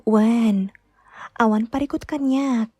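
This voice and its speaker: A woman's voice: a little high, takes it slowly, mellow, breathy edge, dry recording. A woman with an Ilocano accent playing a meek, long-suffering young daughter-in-law in a radio drama, hesitant and plaintive. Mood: reassuring, troubled. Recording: music bed behind close dialogue.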